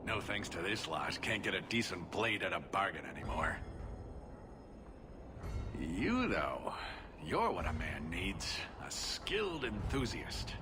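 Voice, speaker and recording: An actor playing a grumbling, scheming dwarf merchant with a wry, blustering sales patter in a video game. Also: male voice, deep voice, big pitch swings, slow, noisy background, full character voice. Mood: wheedling. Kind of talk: video game dialogue acting. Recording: game audio under loud music.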